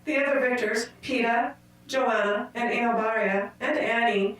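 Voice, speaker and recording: A woman playing a stern president in a radio drama, making a formal, deliberate announcement to a crowd with authority. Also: a little high, fairly bright tone, natural voice. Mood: stern, official. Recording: distant, very echoey announcement in a hall.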